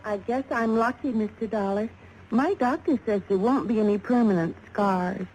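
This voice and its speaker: Actress as young glamour model, shaken yet scornful; soft mellow voice, vintage radio hiss. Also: female voice, medium pitch, normal speed, lightly acted. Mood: shaken, subdued. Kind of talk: 1950s radio drama acting. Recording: faintly noisy vintage radio recording.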